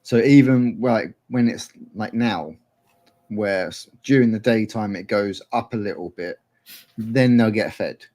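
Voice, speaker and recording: A man co-hosting a casual chat, relaxed and rambling as he explains things step by step. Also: fairly low pitch, unhurried. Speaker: co-host.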